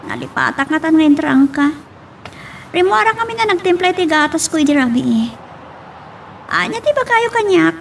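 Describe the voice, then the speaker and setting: A lovesick, anxious daughter in a radio drama, played by a woman. Her voice is extremely high and swings freely, with creaky edges. There is clear noise behind her. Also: lively intonation, normal speed, pauses often, exaggerated voice. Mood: startled, defensive.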